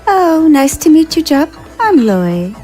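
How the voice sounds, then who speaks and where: A woman's voice: high voice, very animated, takes it slowly, warm tone, faint music behind, exaggerated voice. A woman playing a sultry teasing alien woman. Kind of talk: audio drama acting.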